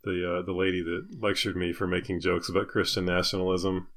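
A man's voice: sits low, flat delivery, a little fast, fairly bright tone. A man co-hosting a podcast in casual comedic chat, with a joking, teasing manner. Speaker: podcast co-host.

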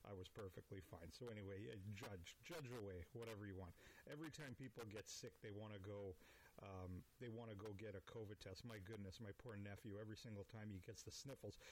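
A man's voice: fairly low pitch, background noise. Rambling profane man in his forties. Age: 40s. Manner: rambling, profane, self-deprecating. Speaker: podcast host. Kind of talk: casual solo monologue.

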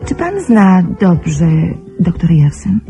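A woman's voice: on the low side, lively intonation, slow, warm tone, soft background music. An actress playing a conscientious, earnest young woman pharmacist in a Polish radio play. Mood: curious, probing. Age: young adult.

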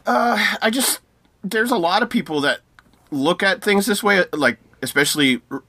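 A man's voice: high-pitched, lively intonation, moderate pace, sharp tone, no room sound. A man in casual podcast chat, ranting with sarcasm and many restarts. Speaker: podcast co-host.

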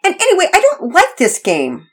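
A woman's voice: very high voice, huge pitch swings, moderate pace, voice pushed up, exaggerated voice. A woman voicing a sensitive, reluctant little girl, plaintive and defensive, in a children's storybook. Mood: tearful, upset.

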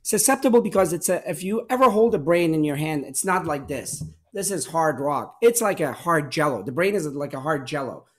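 A man's voice: on the high side, expressive, brisk, few pauses. Earnest explaining man with restarts. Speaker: physician guest. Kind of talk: conversational podcast interview.

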